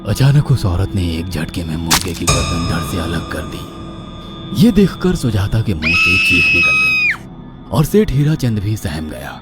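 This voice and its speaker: A man's voice: fairly low pitch, wildly swooping pitch, measured pace, loud music behind the voice. A man narrating a scripted horror story in a dramatic style.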